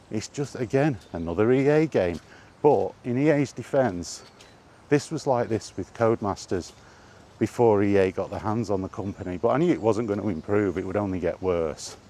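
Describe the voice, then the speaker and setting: A man's voice: on the low side, warm tone. A British man talking off the cuff in an exasperated, sweary rant, like a gaming vlogger chatting while out walking. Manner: exasperated, sweary, repetitive rhetorical questions. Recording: outdoor recording with clear background noise.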